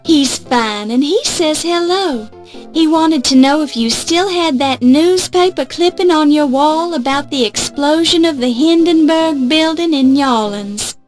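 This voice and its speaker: A woman's voice: high voice, a little slow. An actress playing an eager, polite young woman starting out as a reporter in an adventure game, with music behind her. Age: young adult. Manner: earnest, polite, determined. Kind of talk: scripted adventure game acting. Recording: music under the voice.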